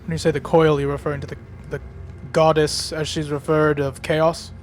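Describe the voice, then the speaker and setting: Slightly high-voiced British man playing a tabletop game. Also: flat delivery, moderate pace. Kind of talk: casual tabletop game roleplay.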